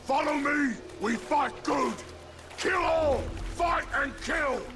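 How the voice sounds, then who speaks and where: An actor playing a crude, bloodthirsty orc fighter in a video game, rousing others in broken speech. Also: male voice, very high-pitched, slow, over music, exaggerated voice. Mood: bloodthirsty rallying. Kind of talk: video game creature dialogue.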